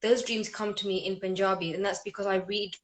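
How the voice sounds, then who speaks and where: A woman's voice: mid-range voice, level tone, a little fast, low-quality recording. A woman hosting an interview, talking enthusiastically and often restarting her sentences. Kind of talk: casual conversational interview.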